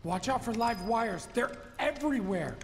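An actor playing an amiable, weary, good-natured rebel sidekick in a video game. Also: male voice, very high voice, animated, moderate pace, a little hiss or hum. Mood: easygoing. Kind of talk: video game cutscene acting. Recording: long reverb, faint music.

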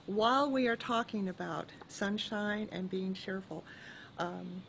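A woman's voice: medium pitch, unhurried, creaky, a bit bright, a little hiss or hum, low-quality recording. An older woman, a grandmother, reading an encouraging message to her church community by video. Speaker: parishioner giving a message. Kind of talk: read message to congregation.

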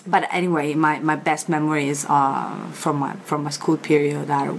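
A young Italian woman with an Italian accent, teaching a vocabulary lesson to camera in a chatty way, filled with pauses and fillers, recorded outdoors on a terrace. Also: deep voice, little pitch movement, vocal fry, slight background noise. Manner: chatty, many fillers, repeats words slowly. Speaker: language teacher.

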